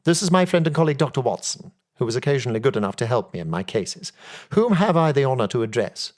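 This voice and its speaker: A man voicing a brilliant, confident detective in an audiobook story, speaking in a brisk, emphatic, self-assured and theatrical way. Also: mid-range voice, big pitch swings, pitched above the performer's own voice, natural voice. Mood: eager, assured.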